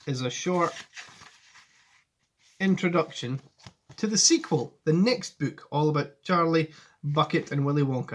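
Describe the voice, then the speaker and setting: A man in his forties, a teacher reading a children's story expressively and addressing his pupils in a friendly way at home. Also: a little high. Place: living room at home. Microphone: device camera at arm's length.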